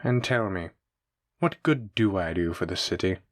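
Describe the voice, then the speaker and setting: Man playing a low, swooping, suave mafia villain. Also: expressive, moderate pace, no room sound, clean studio sound. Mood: smug, calm menace. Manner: theatrical, teasing, condescending. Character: suave, menacing, amused male mafia boss villain. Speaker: voice actor. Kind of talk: scripted roleplay audio drama addressed to listener.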